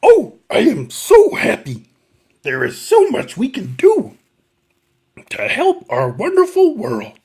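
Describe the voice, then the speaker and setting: A man voicing an excitable furry little monster puppet, reading a children's picture book in a strained, throaty voice. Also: very high voice, voice pushed up, heavily acted. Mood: happy, earnest. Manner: emphatic, swooping, deliberate reading to small children.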